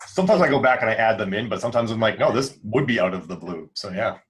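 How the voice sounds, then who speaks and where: A man's voice: lowish voice, expressive, fast. A man hosting a casual podcast chat among writers.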